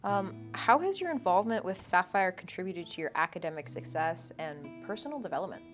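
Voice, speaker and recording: A woman's voice: fairly low pitch, expressive, fairly quick. Young woman asking interview questions. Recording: guitar music playing underneath.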